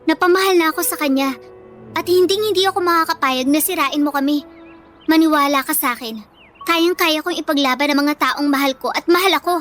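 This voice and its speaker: A woman's voice: high voice, fairly quick, fairly bright tone, soft background music. A woman playing a meek but steadfast bullied teenage schoolgirl in a radio drama, pleading and earnest, with a Filipino accent. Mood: earnest, firm.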